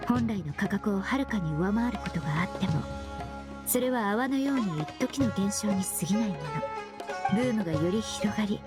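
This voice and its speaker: A woman playing a courteous, earnest young perfumer in an anime game, speaking formally and explaining in a measured way. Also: medium pitch, animated, over loud music, lightly acted. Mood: calm, explanatory. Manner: polite formal speech, measured explanatory delivery. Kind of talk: Japanese anime game voice acting.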